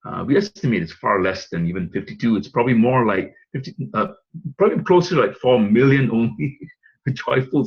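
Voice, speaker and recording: Husky-voiced man pitching a business. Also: dull audio. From Singapore. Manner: rambling with fillers and restarts, chuckling asides. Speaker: presenter. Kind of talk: webinar pitch talk.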